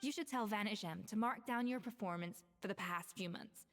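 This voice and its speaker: A woman playing an exacting, self-assured chef in an anime game, crisp, commanding and matter-of-fact. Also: mid-range voice, brisk, in a large hall, slight background noise, natural voice. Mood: firm, reassuring.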